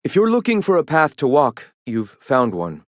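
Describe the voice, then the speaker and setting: An actor playing a zealous philosopher who leads an anti-corporate rebel sect in a video game, speaking in lofty, measured, formal oratory. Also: male voice, on the high side, wildly swooping pitch, no room sound, clean studio sound. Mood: serene, preacherly. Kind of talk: video game character dialogue.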